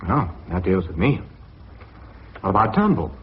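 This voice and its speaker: An actor playing a measured British vice chairman, formal and authoritative, with a husky voice in a radio drama. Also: low voice, big pitch swings, a little hiss or hum. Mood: brisk, probing. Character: measured male vice chairman presiding over a tense board meeting. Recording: dull lo-fi radio recording.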